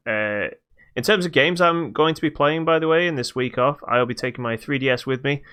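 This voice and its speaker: A middle-aged man co-hosting a casual podcast, talking in a halting, hesitant way. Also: medium pitch, a bit bright, smooth. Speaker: podcast co-host.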